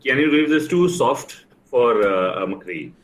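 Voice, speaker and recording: A man's voice: mid-range voice, lively intonation, unhurried. A man talking casually as a panelist in a group chat. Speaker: podcast panelist. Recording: noisy, background room ambience.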